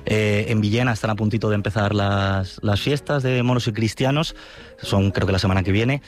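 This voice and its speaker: A man's voice: on the low side, fairly even intonation, a little fast. A man with an accent from Spain, hosting a radio programme in a conversational way. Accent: Spanish from Spain. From Yecla, Murcia region, Spain. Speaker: radio host.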